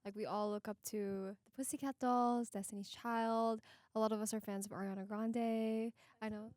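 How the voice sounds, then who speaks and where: A young woman from the Philippines speaks in an earnest, enthusiastic way. Her voice sits a touch high for a woman. The studio sound is close and dry. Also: steady tone, moderate pace, a little mellow, no echo. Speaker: pop group member. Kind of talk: casual interview chat. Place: radio studio.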